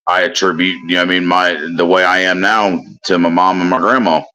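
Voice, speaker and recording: Man in his thirties, Kentucky accent, very low and forceful voice; rambling casual guest who keeps saying "you know what I mean.". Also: little pitch movement, brisk. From Indiana, US. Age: 30s. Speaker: guest on a live stream. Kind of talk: casual chat.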